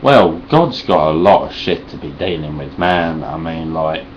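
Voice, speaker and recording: Low-voiced essex geezer mumbling sarcastic rants at his webcam, bit of hiss, dull muffled audio. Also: a little slow. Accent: Cockney Essex. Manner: deadpan, sarcastic, rambling, heavy swearing. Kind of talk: sweary deadpan vlog response.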